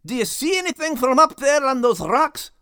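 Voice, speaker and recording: A woman voicing a small, timid, excitable male cat with a nervous, pleading, dramatic manner. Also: high voice, moderate pace, clean tone, no room sound, clean studio sound. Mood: eager.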